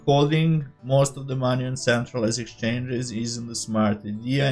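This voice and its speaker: A man from Serbia with a Serbian accent, speaking English as a second language, presenting a scripted introduction as the host of an online channel. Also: mid-range voice. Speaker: host of a cryptocurrency investing channel.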